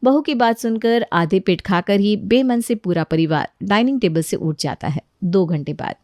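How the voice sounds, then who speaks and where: A woman's voice: medium pitch, normal speed, darkish tone, no room sound, studio quality. A woman reading scripted narration for a family story.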